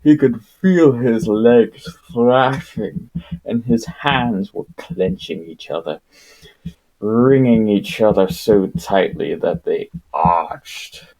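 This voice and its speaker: A man reading a story aloud as an amateur narrator, in an expressive, dramatic manner. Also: on the low side, takes it slowly, poor audio. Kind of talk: expressive audiobook-style narration.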